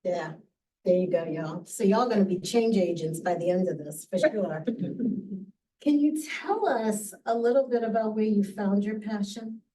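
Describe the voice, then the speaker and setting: A woman moderating a panel in a relaxed, casual, playful way. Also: medium pitch, normal speed, distant mic, poor audio.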